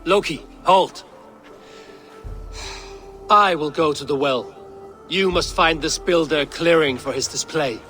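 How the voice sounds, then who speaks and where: A man's voice: on the high side, slow, pauses often, bright, forceful tone, faint music behind. An actor playing a stern, suspicious Norse god king in a video game, with commanding, formal, archaic phrasing. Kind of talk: dramatic game cutscene acting.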